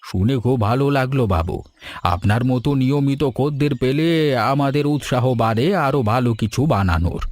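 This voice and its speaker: Kindly old grandpa shopkeeper, played by a man, animated delivery. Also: mid-range voice, fairly quick, no echo, natural voice. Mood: kindly, grateful. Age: older. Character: kindly, patient old grandfather running a paratha shop. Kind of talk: cartoon story dialogue.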